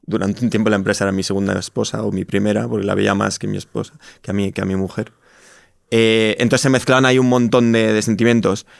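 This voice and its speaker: A man's voice: fairly low pitch, little pitch movement, quick pace, creaky, dry recording, clean studio sound. A man with an accent from Spain, telling his own story reflectively as an interview guest, often rephrasing himself. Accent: Spanish from Spain. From Spain (Madrid). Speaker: interview guest, startup co-founder. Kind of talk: conversational personal storytelling in an interview.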